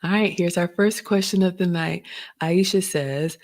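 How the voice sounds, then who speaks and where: A woman's voice: on the low side, unhurried, darkish tone. A woman in her forties, originally from Ghana, chatting casually as host of a live Q&A and reading out viewer comments. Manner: chatty, reads viewer comments aloud, uses "y'all" and "you all".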